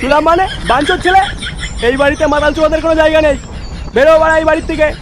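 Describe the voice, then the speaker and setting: A man voicing an irascible, foul-mouthed parent in a comedy cartoon, scolding and bullying in a pitched-up voice. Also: fairly even intonation, racing, a crowd in the background. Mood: angry scolding. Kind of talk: exaggerated comedy cartoon acting. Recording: noisy track with street and vehicle ambience.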